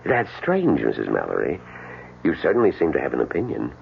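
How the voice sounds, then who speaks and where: An actor in an old radio drama playing a suave, unruffled male healer with a measured, gently needling manner. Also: very deep voice, wildly swooping pitch, gravelly, full character voice. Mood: knowing, needling. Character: suave, unruffled healer treating patients by mind power. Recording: dull, noisy old radio recording.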